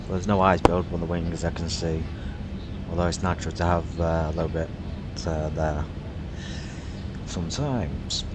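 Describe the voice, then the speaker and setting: A man's voice: low voice, fairly even intonation, normal speed, very noisy. A man giving casual, musing commentary while streaming a flight simulator, with frequent fillers.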